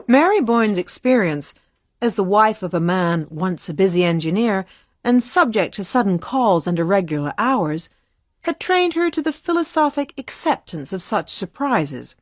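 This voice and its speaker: A woman's voice: mid-range voice, sing-song, normal speed, no room sound. Close, dry female story narrator. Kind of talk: scripted literary story narration.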